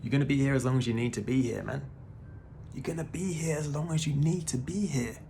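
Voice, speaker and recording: Gravelly laid-back man in his thirties. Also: medium pitch, fairly quick, mellow, slight background noise. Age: 30s. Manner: laid-back, peppers talk with "man" and swearing. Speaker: spiritual vlogger. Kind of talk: casual spiritual monologue.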